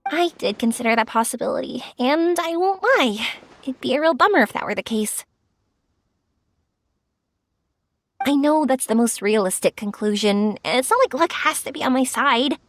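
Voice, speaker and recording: A woman's voice: high voice, expressive, fairly quick, dry recording. A woman playing a cheerful, sincere, bubbly young woman in a video game, speaking expressively over music. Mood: sincere, hopeful. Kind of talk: video game character acting.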